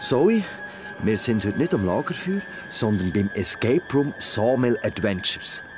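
A man's voice: fairly low pitch, sing-song, unhurried, noisy background. An actor playing an eager, earnest and naive young man in an audio drama. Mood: earnest explaining. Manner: enthusiastic, earnest. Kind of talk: German-language audio drama acting.

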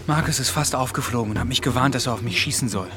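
A man's voice: mid-range voice, fast talker, over music, lightly acted. An actor playing a dry, unflappable male police detective with clipped, wry delivery in a radio crime drama. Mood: urgent.

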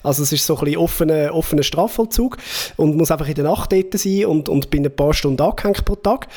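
Swiss German podcast co-host, 40s, slightly high voice, joking, meandering. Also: male voice, a little mellow. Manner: joking, rambling storyteller. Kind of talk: casual chat.

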